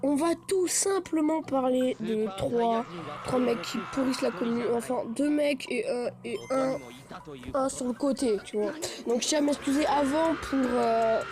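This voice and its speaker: A man's voice: very high voice, a little slow. A male vlogger with a cold, ranting casually in a rambling, repetitive, slangy way. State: has a cold. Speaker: gaming channel vlogger. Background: loud hip hop background music.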